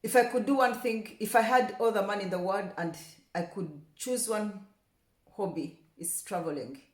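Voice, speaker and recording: A woman's voice: medium pitch, normal speed. A young woman from Rwanda talking casually to camera, chatty and repeating words for emphasis, turning passionate. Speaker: vlogger. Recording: echoey room.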